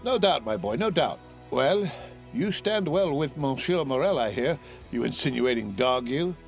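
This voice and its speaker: A man's voice: medium pitch, lively intonation, normal speed, faint music behind, pitched above the performer's own voice, close to an everyday voice. A man voicing a grinning, envious young tailor in a storybook, effusive and over-familiar, repeating himself. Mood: sly, effusive. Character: grinning, wheedling tailor neighbor, slyly envious man in his twenties.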